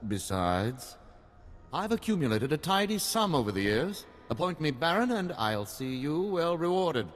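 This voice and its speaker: A man's voice: on the high side, sing-song, normal speed, slight background noise. A man voicing a pompous, scheming older nobleman in a video game, formal and impatient. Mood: self-important. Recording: long hall reverb.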